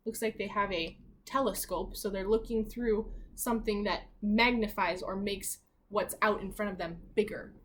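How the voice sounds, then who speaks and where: A woman's voice: mid-range voice, fairly even intonation, moderate pace, hardly any pauses, slight background noise. A woman teacher reading a children's book aloud expressively, adding chatty asides and questions addressed directly to the children. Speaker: primary school teacher reading aloud to pupils.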